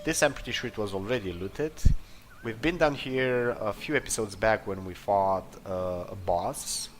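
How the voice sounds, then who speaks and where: A man's voice: lowish voice, normal speed, slight background noise. A man giving casual gameplay commentary, thinking aloud in a rambling, hesitant way. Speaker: video game player commentator. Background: game music.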